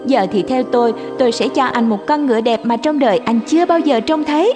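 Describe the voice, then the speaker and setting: Woman voicing a bossy, high-voiced talking cat. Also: brisk, music in the background, lightly acted. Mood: coaxing. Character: sly bossy talking cat, secretly a princess.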